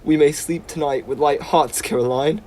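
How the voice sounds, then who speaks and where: A young man acting a reassuring husband on a school stage, calm and steady. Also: a little high, normal speed, lightly acted. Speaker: stage actor.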